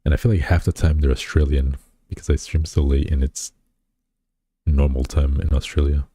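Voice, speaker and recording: A man with a very deep, dark voice chats loosely with his stream audience, reading their comments back to them. He rambles, restarts his sentences, and pokes fun at himself. The husky sound is dry and close to the mic. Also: little pitch movement, normal speed, dry recording. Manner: rambling, self-deprecating, many fillers and restarts. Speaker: VTuber streamer. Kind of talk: casual live-stream chat, reading chat aloud.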